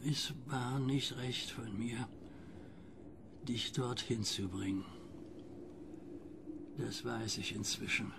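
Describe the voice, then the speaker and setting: An actor playing an old, fearful, guilt-ridden neighbour in a radio drama, halting and intense, often sinking toward a whisper. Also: male voice, medium pitch, fairly even intonation, gravelly. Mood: grave, regretful. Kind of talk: German radio drama acting. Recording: noisy ambient background.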